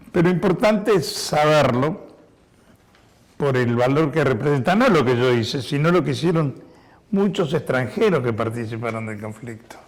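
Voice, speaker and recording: Hoarse older man, slow and measured. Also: medium pitch. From Spain. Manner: measured, explanatory, with many hesitations. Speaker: war veteran giving testimony. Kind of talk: testimony to an audience.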